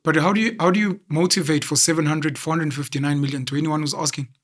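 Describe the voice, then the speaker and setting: A man's voice: a little high, fairly even intonation. Probing South African interviewer, man. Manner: probing, measured questions. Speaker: interviewer host. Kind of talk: interview conversation.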